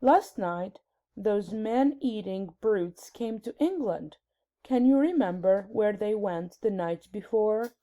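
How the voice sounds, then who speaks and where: A woman's voice: medium pitch, lively intonation, slow pace, dark tone. A woman voicing a composed storybook queen, commanding and matter-of-fact.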